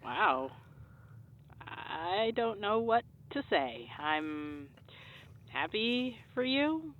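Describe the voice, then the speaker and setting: Through a thin, phone-like band comes a woman acting as a dry, polite female colleague in a comedy radio drama. She is supportive but hesitant, and her pitch moves around in a lively way. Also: extremely slow, a little hiss or hum.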